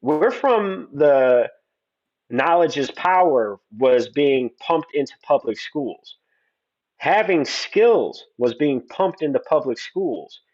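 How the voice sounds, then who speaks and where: A man's voice: fairly high pitch, animated, slow pace, a little mellow. Earnest man in his forties, muffled lo-fi line. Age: 40s. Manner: earnest, talks at length with restarts. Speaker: guest. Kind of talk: opinionated conversation.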